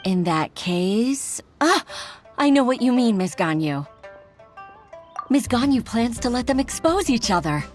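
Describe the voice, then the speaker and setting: An actress playing an earnest young junior clerk in a video game, hesitant, expressive and respectful. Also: female voice, fairly high pitch, unhurried. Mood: eager, deferential. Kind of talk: English video game voice acting. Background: game music.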